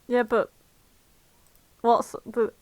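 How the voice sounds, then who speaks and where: A woman's voice: a little high, level tone, very slow, no room sound. A British woman co-hosting a podcast in casual comedic chat, with a dry, exasperated manner. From Britain. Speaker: podcast co-host.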